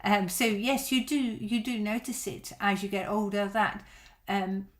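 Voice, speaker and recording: A woman's voice: mid-range voice, steady tone, unhurried. A middle-aged woman whose first language is Dutch, a violin teacher chatting on a live stream and answering viewer questions in a reassuring way.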